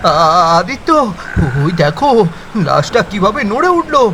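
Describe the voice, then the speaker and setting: A jumpy, timid young village man, voiced by a man in an animated horror tale stumbles over his words. His voice sits very high and swings widely. It sounds bright and strained, with music and rain behind him. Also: a little hiss or hum, exaggerated voice. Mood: frightened. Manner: stammering, nervous, quick to panic. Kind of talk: animated horror story dub.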